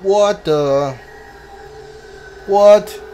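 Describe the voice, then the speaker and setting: A man's voice: high-pitched, very slow, mellow, slight background noise, low-quality recording. A man giving casual video game commentary, musing aloud in short reactions as he plays. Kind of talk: casual let's play commentary. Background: game music.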